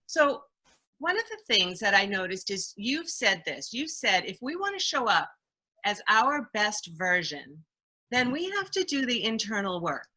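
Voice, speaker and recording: A woman's voice: mid-range voice, very animated, unhurried, pushed and bright. A woman hosting an interview, introducing her guest and asking questions conversationally. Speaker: interviewer, host.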